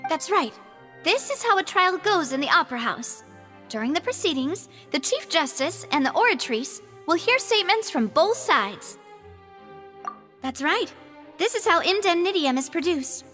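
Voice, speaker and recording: Young noble sleuth, high lilting voice, articulate, pondering, game dialogue. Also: female voice, sing-song, moderate pace, pushed and bright, faint music behind, full character voice. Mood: pondering, explanatory. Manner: thoughtful, articulate. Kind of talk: video game voice acting.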